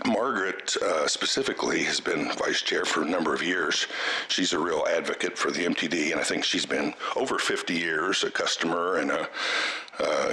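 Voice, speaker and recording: A middle-aged man with a cold, giving halting farewell thanks as chair at a board meeting, full of fillers and restarts. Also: sits low, bright, forceful tone, poor audio. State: has a cold, voice strained. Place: meeting room.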